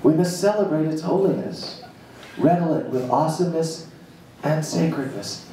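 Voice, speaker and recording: A man giving a theatrical live spoken-word reading of a monologue, with emphatic dramatic pauses and a playful manner. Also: fairly high pitch, lively intonation, mellow. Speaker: reader performing a written monologue. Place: indoor jazz club stage, live event. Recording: very echoey live venue, distant mic, noisy.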